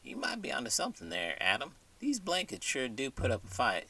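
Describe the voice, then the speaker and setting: A good-natured cowboy acted out by a man drawls in a folksy American western accent. His pitch swings widely and the tone is bright and a little rough. He sounds puzzled by the world, as in a bedtime story skit. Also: huge pitch swings, fairly quick, far from the mic, heavily acted. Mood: amused, folksy. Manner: folksy, colorful cowboy idioms. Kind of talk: scripted comedic bedtime interlude.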